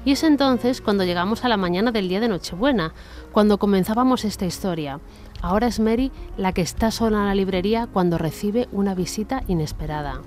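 A woman's voice: medium pitch, a little fast, darkish tone. A woman narrating a scripted radio drama as its storyteller. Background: faint music.

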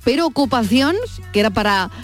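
High-voiced Andalusian woman radio host. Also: expressive, faint music behind. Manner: measured, neutral broadcast delivery. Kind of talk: radio talk show chat.

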